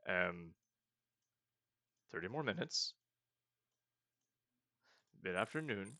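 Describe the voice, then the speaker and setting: A man's voice: low voice, lively intonation, takes it slowly, sharp tone, no echo, studio quality. A young man casually commenting on a roleplaying game as he plays, thinking aloud and joking at his own mistakes. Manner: thinking aloud, chatty and self-mocking. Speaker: let's-play host.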